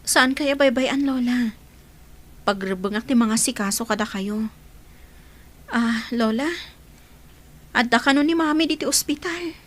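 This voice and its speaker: A young woman playing a gentle, dutiful granddaughter in an audio drama, with an Ilocano Filipino accent. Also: on the high side, a little slow, pauses often. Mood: gentle, reassuring.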